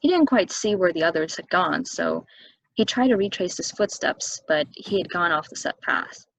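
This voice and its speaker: A woman's voice: fairly low pitch, expressive, moderate pace, creaky, low-quality recording. An actress playing a level-headed, skeptical woman with a dry, conversational delivery, in a table reading of a stage play. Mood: storytelling.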